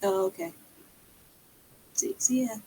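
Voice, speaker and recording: A woman's voice: very high-pitched, expressive, takes it slowly, creaky, dark tone, low-quality recording. A young woman chatting casually on a livestream in a hesitant, rambling way. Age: young adult.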